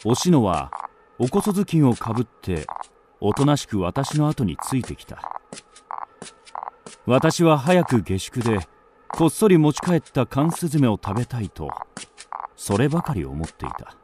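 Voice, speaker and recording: A man's voice: mid-range voice, big pitch swings, unhurried, pauses often, background noise. An actor playing a wry, lofty writer who narrates his youth in a literary radio drama. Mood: reminiscing, wry.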